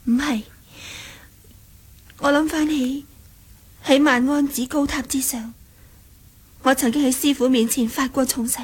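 Casting: young wuxia heroine, very high voice, jealous, reproachful, Hong Kong Cantonese, radio drama. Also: female voice, fairly even intonation, measured pace, pauses often, husky. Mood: grave, sorrowful. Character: wounded, jealous young martial-arts heroine, reproachful fiancée.

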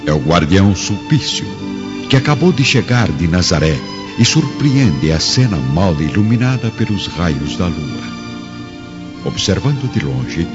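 A Brazilian man narrates a radio drama solemnly in a very low voice. His delivery is dramatic and animated, on a somewhat dull, lo-fi recording. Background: clear background music.

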